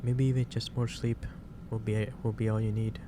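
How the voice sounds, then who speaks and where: A man playing a gentle, caring office boss in an ASMR roleplay, speaking haltingly with repeated phrases over a noisy background. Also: lowish voice, little pitch movement, natural voice. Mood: gently concerned. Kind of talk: soft-paced ASMR roleplay monologue. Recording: clearly noisy background hiss or ambience.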